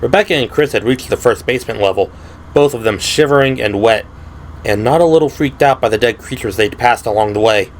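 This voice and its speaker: A man's voice: on the low side, rough recording. Man narrating horror over music. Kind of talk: dramatic scripted horror narration. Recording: loud music and effects behind voice. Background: music and sound effects.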